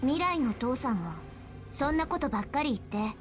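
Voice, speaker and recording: An actress playing a stern young woman soldier who briskly nags her lazy father in a Japanese visual novel. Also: sits high, lively intonation, faint music behind. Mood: stern, firm.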